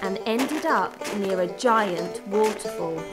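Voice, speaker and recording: A woman's voice: mid-range voice, expressive, slow. A woman narrating a scripted children's story as the narrator. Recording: loud music behind the voice.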